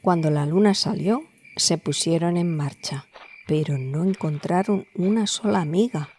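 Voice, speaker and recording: A woman narrating a scripted fairy tale as a storyteller, in a measured, dramatic way. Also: lowish voice, a little mellow, slightly rough.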